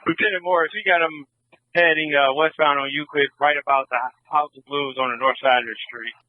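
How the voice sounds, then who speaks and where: A man's voice: a little high, fairly even intonation, brisk, narrow, tinny audio. A man, a police officer in the field, giving a running report over a radio. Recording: thin, band-limited police scanner feed.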